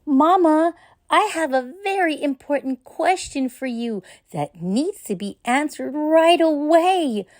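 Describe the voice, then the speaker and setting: A woman's voice: high-pitched, expressive, takes it slowly, dry recording, studio quality, pitched above the performer's own voice. A woman voicing an earnest, eager little girl in a children's storybook. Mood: urgent, calling. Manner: urgent, earnest. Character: eager, loving little girl asking her mother.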